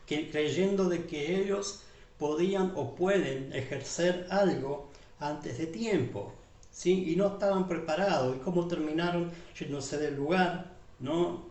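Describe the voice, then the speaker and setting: Argentine pastor preaching in an echoey hall. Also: male voice, a little high, measured pace, a little mellow. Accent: Argentine Spanish. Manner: repeats tag questions, list-like phrasing, addresses listeners as beloved. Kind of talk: sermon. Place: church hall. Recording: echoey church room from far off.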